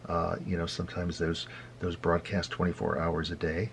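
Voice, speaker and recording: An American man hosting an informal hobby tutorial, explaining things in a halting, conversational and wry way. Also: low-pitched, monotone, creaky, slight background noise. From United States. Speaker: host of a hobby radio tutorial.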